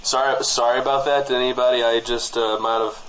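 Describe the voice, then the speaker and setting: A man's voice: mid-range voice, flat delivery, fast talker, a bit bright, poor audio. An American man co-hosting a comedy podcast, bantering as if presenting small-town radio. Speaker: podcast co-host.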